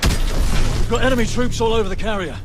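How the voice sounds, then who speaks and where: High-pitched intense male soldier in battle noise, acted. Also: brisk, natural voice. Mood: urgent, defiant. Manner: clipped, intense. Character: male soldier in combat, intense, defiant squadmate. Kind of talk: combat game acting. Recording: very noisy battle sounds, booms behind.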